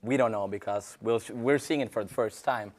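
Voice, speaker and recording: A young man, Norwegian native, co-hosting a college radio arts segment, rambling in chat and reading announcements. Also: on the low side. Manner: halting, rambling with fillers in chat. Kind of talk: casual chat and read announcements.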